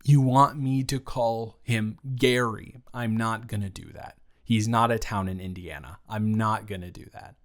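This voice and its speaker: A man's voice: on the low side, measured pace, hardly any pauses. A young man playing the listener's teasing, caring best friend in an improvised audio roleplay, rambling and correcting himself as he talks. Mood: teasing, comforting.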